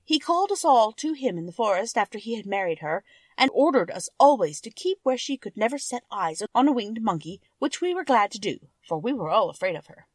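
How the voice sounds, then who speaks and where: A woman voicing a cheerful winged monkey king, telling his band's history in an expressive storybook manner. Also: a little high, brisk, no echo. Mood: explanatory. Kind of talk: children's storybook character narration.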